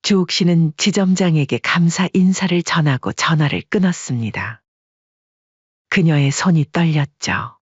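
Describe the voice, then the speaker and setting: Story narrator, male, husky voice, mid pitch, dry close sound. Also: unhurried, dry recording. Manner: steady storytelling. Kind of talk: scripted audiobook narration. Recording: dry, dull lo-fi recording.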